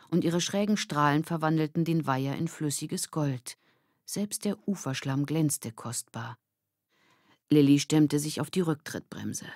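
A woman's voice: sits low, a little mellow, dry recording, studio quality. A woman reading a children's novel aloud as scripted audiobook narration.

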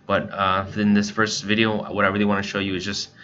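A man narrates a casual how-to tutorial in a fairly low voice. His tone has a bright, forceful edge. The recording is somewhat dull and lo-fi. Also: completely flat delivery, a little fast. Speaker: software tutorial presenter. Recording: dull lo-fi mic, background noise.

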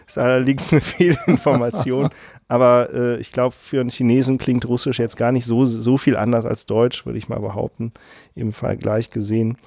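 A man's voice: mid-range voice, creaky, warm tone, no echo, studio quality. A man hosting a casual podcast, rambling through a story with many fillers and frequent laughs. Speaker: podcast host.

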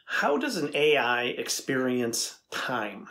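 A middle-aged man playing an earnest, curious AI researcher in a dramatized reading, asking questions deliberately. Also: medium pitch, sing-song, pushed and bright, exaggerated voice.

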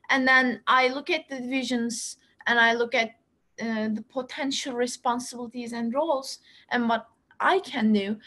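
A young woman, a student association president, talking casually and haltingly with many fillers on a laptop video call from a small room at home. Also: high voice, fairly bright tone. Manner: halting, many fillers and restarts, laughs often. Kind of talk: casual webinar talk. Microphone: laptop webcam, video call.

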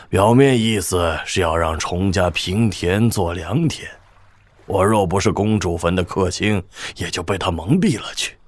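An actor playing a shrewd old military governor, husky, measured and wry, in a wuxia audio drama. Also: male voice, sits low, dry recording. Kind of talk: Chinese wuxia radio drama acting.

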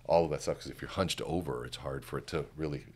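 A middle-aged man with an extremely low, resonant baritone speaks calmly on a podcast. He paces his thoughts with deliberate pauses, like a trained speaker coach. The sound comes from a close studio microphone. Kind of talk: conversational podcast interview. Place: podcast studio with curtained backdrop. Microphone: studio microphone on a stand, headphones on.

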